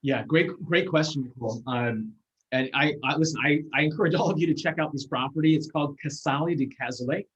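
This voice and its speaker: Husky man on a muffled call. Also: fairly high pitch, a bit bright. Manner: earnest, measured, many fillers and restarts. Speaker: guest speaker. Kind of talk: video call lecture and Q&A. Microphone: video call. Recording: muffled video call audio.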